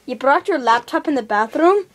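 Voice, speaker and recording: A woman's voice: high voice, lively intonation, moderate pace. A woman playing a bossy, sarcastic, easily exasperated video game hero in an improvised amateur skit.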